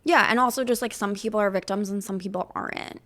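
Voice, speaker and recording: A woman co-hosting a casual political chat podcast, talking haltingly and peppering her sentences with "like". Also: mid-range voice, fairly bright tone. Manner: halting, heavy use of "like". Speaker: podcast co-host.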